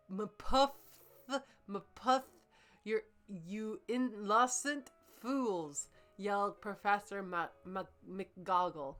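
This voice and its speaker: A woman's voice: mid-range voice, a bit bright. A woman in casual, jokey, profane banter and mock-dramatic reading, stumbling over odd words as she goes. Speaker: co-host reading fanfiction aloud and critiquing it.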